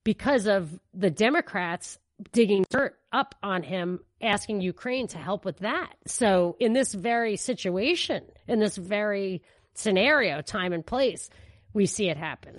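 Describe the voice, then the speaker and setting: An American woman speaks as a podcast co-host in a casual political discussion. Her delivery is opinionated and emphatic, at a medium pitch. The sound is dry, with very little room echo. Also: normal speed.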